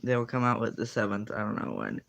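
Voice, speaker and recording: A man's voice: on the low side, big pitch swings, fast talker, vocal fry, fairly bright tone. A teenage boy in casual livestream chat, answering viewers and rambling with lots of fillers and self-corrections. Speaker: streamer.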